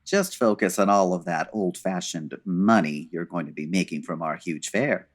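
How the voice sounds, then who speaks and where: Man acting as suave 1940s gentleman detective, very low voice, dry wit, formal, unflappable, radio mystery comedy. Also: moderate pace. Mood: dryly amused. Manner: dry, urbane, formal wording.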